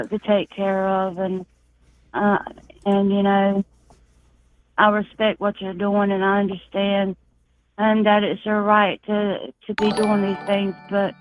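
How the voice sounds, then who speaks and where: A soft-spoken woman from Texas calling into a show, talking earnestly with many pauses and fillers. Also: medium pitch, completely flat delivery, faint music behind. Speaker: caller. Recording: tinny phone line.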